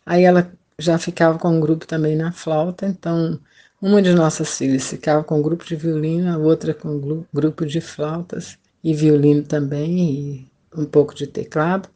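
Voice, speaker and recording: A woman's voice: sits low, fairly even intonation, normal speed, mellow. An older woman with a Brazilian accent, a retired missionary telling her story conversationally as a podcast guest. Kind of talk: personal testimony, conversational storytelling.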